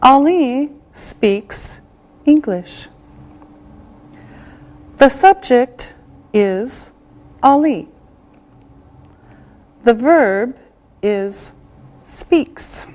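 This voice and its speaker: Slow, deliberate woman teacher. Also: sits high, lively intonation, a little mellow. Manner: slow and deliberate, drawing out words. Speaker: English teacher. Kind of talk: slow, clearly enunciated classroom teaching.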